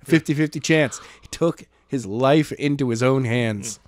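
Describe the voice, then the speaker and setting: A man's voice: mid-range voice, a little slow, darkish tone, dry recording, studio quality. A man co-hosting a podcast, casually discussing a book and joking, often acting out imagined lines. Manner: joking, acts out imagined dialogue in asides. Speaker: podcast co-host and narrator of the chapter.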